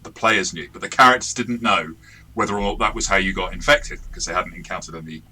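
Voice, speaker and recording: A man's voice: deep voice, fast, bright, forceful tone, husky, rough recording. A man in a casual review chat as host and game master, explaining things in an informal, discursive way.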